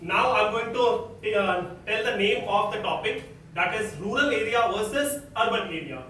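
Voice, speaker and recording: A man's voice: high voice, lively intonation, normal speed, sharp tone, a little hiss or hum. A man with an Indian English accent, a teacher moderating a student debate in a classroom with firm prompts to his students. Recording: echoey room, picked up from far off.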